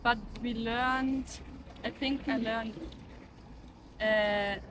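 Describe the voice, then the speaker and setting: A woman's voice: on the high side, steady tone, drawn-out words. Young German-accented woman, street noise. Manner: chatty, leans on "like". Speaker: passerby interviewee. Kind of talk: casual street interview. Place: outdoors on a street. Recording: outdoor street noise behind.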